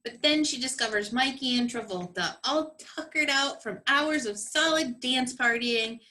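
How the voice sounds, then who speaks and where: A woman's voice: sits high, unhurried, sharp tone. A woman comedian giving sassy, sarcastic narration for a radio play. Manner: dry sarcastic commentary.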